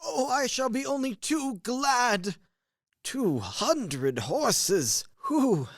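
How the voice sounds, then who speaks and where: A man's voice: extremely high pitch, sing-song, very slow, dry recording, clean studio sound, full character voice. A man playing a blustering, easily impressed middle-aged major and father in a radio comedy, exclaiming as he goes. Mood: awed delight. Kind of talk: stage-comedy radio play acting.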